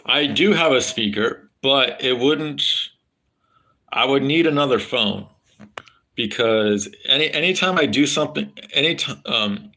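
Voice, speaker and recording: A man's voice: mid-range voice, sharp tone, rough recording. A man with a standard American accent chatting loosely with his live stream viewers, swearing freely and rambling with lots of fillers. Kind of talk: casual rambling chat with viewers.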